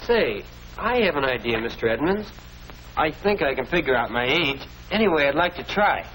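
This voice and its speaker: Earnest American schoolboy, old film hiss. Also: male voice, on the high side, big pitch swings, normal speed, a bit bright. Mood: earnest, curious. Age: teenager or young adult. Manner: earnest, deferential. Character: earnest, polite teenage American schoolboy, self-critical. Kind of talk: 1940s educational film acting. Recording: noisy old 1940s film soundtrack.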